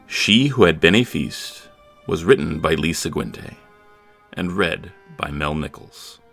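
A man's voice: deep voice, creaky, fairly bright tone. A man reading scripted credits as the announcer of a podcast. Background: music.

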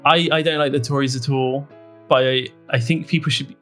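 Man, podcast host, mid-pitched voice, jokey rambling chat, self-mocking, guitar music behind. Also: fairly even intonation, brisk, a bit bright. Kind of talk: casual comedic chat.

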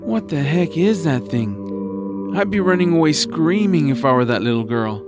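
A man's voice: medium pitch, big pitch swings, normal speed, music in the background, lightly acted. A man playing an earnest, anxious young hero in an audio drama, wisecracking and thinking aloud in a slightly jittery way. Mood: wary, wondering.

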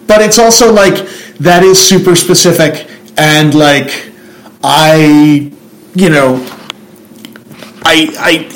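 A man with a Central New Jersey accent talks in a casual, rambling way, restarting his sentences as he goes. His voice sits a bit high for a man. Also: poor audio. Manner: rambling, self-interrupting, restarts a lot. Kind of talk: casual chat.

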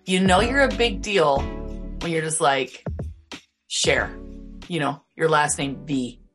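A woman's voice: low-pitched, expressive, slow, fairly bright tone, faint music behind. A woman hosting a podcast in a casual, chatty interview style. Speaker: podcast host.

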